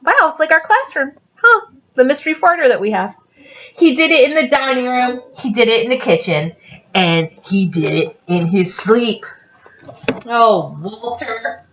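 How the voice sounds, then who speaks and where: Animated, high-voiced woman reading a story aloud. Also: measured pace, muffled recording, slight background noise. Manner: animated, giggly, easily cracked up. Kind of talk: informal story read-aloud with chatty asides.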